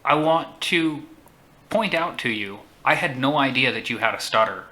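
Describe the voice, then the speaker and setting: A man hosting a casual chat, speaking in a measured, deliberate way. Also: medium pitch, animated, bright, forceful tone. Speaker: host.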